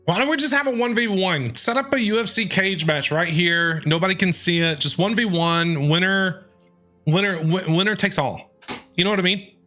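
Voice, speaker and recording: A man giving casual, playful live reactions while streaming a video game, excitable and quick to respond. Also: high voice. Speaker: gaming streamer. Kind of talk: casual live reaction commentary over gameplay. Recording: game music behind the voice.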